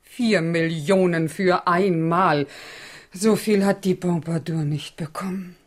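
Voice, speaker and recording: A woman's voice: lowish voice, fairly even intonation, slow pace. A woman playing a middle-aged, greedy and servile mother in a German radio drama. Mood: greedy, amazed.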